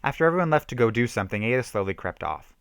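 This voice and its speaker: A man reads a horror story aloud in an amateur, theatrical way. His voice sits at a middle pitch, and the sound is dry and close, with almost no room on it. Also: very animated, racing, vocal fry, smooth, no room sound. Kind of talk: amateur dramatic reading of a homemade horror story.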